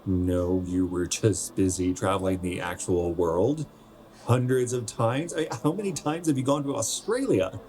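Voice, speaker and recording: A young man playing a wistful, self-conscious 27-year-old in a naturalistic radio drama, speaking in a halting, conversational way. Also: lowish voice, a little mellow, close to an everyday voice. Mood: chatty, deflecting. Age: young adult.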